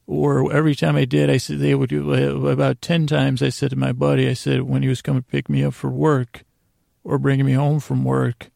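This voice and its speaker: An American man with a creaky, gravelly voice hosting a podcast, telling a meandering bedtime monologue that keeps interrupting itself. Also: mid-range voice, completely flat delivery, a little mellow, no echo. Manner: meandering, halting, self-interrupting, digressive. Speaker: podcast host.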